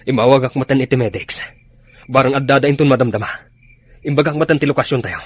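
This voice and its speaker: Radio drama officer, male actor: commanding, grave, Ilocano accent, husky medium-pitched voice. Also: fast talker, no room sound, clean studio sound, close to an everyday voice. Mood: grave, concerned.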